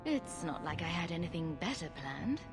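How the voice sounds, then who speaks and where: A woman's voice: lowish voice, big pitch swings, normal speed. An actress playing a guarded young adventurer woman in a video game, questioning in a cool, dry manner. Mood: dry, wary. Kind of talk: video game cutscene dialogue. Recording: music behind the voice. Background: background music.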